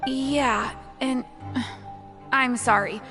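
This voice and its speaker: A withdrawn librarian in a fantasy game, speaking very slowly with a gravelly, creaky tone. She sounds reluctant and curt. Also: high voice, steady tone, sharp tone, faint music behind, full character voice. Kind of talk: game voice acting.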